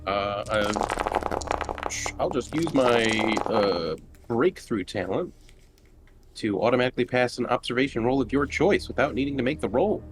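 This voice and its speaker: A man's voice: medium pitch, expressive, a little slow, music in the background. A man chatting casually and wryly as a player in a tabletop roleplaying game. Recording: clearly noisy background, clicks.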